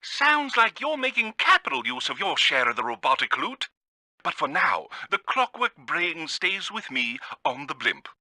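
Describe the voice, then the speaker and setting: An actor playing a pompous, theatrical villainous bird genius in a video game, speaking with a British accent. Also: male voice, on the high side, sing-song, normal speed, pushed and bright, dry recording, heavily acted. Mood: indignant.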